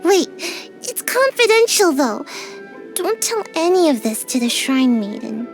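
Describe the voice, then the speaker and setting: A woman's voice: sits high, very animated, unhurried, faint music behind, exaggerated voice. A woman voicing a small, sleepy girl ninja in a video game, drowsy and sighing, always avoiding work. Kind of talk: video game character voice lines.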